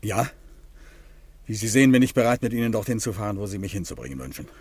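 A man acting a shaken, curt confessed killer in a German radio crime drama, speaking haltingly. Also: fairly low pitch, big pitch swings, a little mellow, husky. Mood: guarded, impatient.

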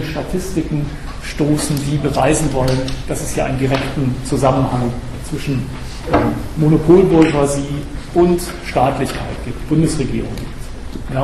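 A slightly hoarse man giving an academic lecture, speaking straight to his audience with frequent hesitation sounds and restarts. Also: medium pitch. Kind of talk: academic lecture on political theory. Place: lecture room. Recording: echoey room recording with background noise.

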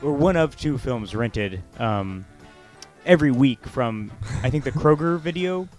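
A man in casual conversation, halting and stammering as he interrupts himself, with an excitable manner. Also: mid-range voice, faint music behind. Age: 20s. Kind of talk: casual chat.

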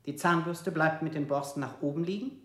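An actor playing a male interrogator who asks word-association questions in a measured, insistent way. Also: on the high side, a bit bright, roomy sound, close to an everyday voice. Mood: clinical. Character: cold male interrogator, clinical and persistent. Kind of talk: radio drama acting.

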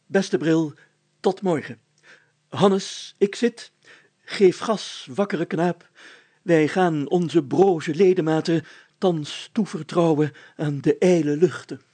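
A man's voice: a little high, slow pace, dark tone, slightly rough, voice pushed up. A man voicing a pompous, solemn village mayor in a storybook, speaking in a formal, declamatory and long-winded way. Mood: stately. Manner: pompous, declamatory, formal, stammering when flustered.

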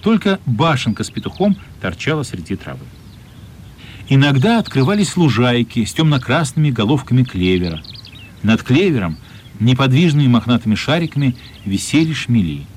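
A man's voice: medium pitch, big pitch swings, moderate pace, darkish tone, slight background noise. A man narrating a radio play in a scripted storytelling style.

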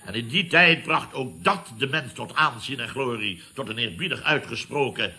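An actor playing a pompous, nostalgic company boss who orates emphatically, in a Dutch radio comedy serial. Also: male voice, mid-range voice, animated, moderate pace, sharp tone. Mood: relishing nostalgia. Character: bombastic, nostalgic male boss of a building firm.

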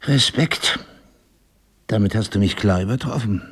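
Sardonic husband with husky voice. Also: male voice, lowish voice, a bit bright. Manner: ironic, probing, deliberate. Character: sardonic middle-aged husband, a probing, exasperated writer. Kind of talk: German radio drama acting.

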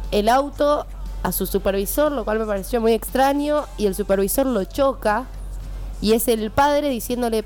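A woman's voice: a little high, darkish tone. Young woman, Argentine accent, hesitant radio panelist with opinions. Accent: Rioplatense Spanish. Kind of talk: casual film discussion.

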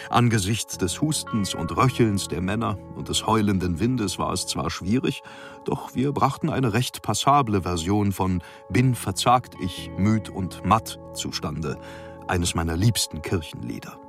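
Grave expedition captain reading his log, low creaky voice, stately wording, radio drama. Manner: grave, stately, old-fashioned diction. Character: formal, solemn polar expedition captain writing his logbook. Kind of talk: dramatic radio play monologue.

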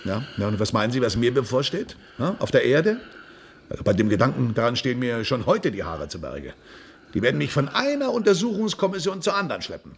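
A man's voice: mid-range voice, sing-song, brisk, a little hiss or hum. An actor in a comic radio play playing a flustered, stammering astronaut, a fussy civil servant nearing his pension. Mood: weary, exasperated. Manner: stammering, hesitant, fussily exasperated.

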